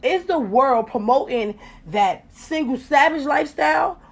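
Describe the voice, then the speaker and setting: A woman hosting a casual podcast chat, animated and profane, her voice jumping loud and high when she gets excited. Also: measured pace. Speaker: podcast host.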